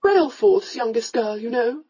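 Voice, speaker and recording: A fluttering, high-pitched countess mother, meek and anxious to please, as a narrator puts her on for a storybook. Her voice rises high and breathy over a husky, mellow base, heard through a slightly dull, lo-fi recording. She gushes and apologizes, always eager to smooth things over. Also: lively intonation, measured pace. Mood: gushing.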